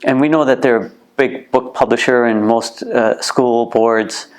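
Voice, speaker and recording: A man's voice: mid-range voice, unhurried. A middle-aged man with a Canadian accent giving earnest interview answers and restarting sentences by repeating words. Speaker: museum co-founder, interview guest. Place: heritage museum.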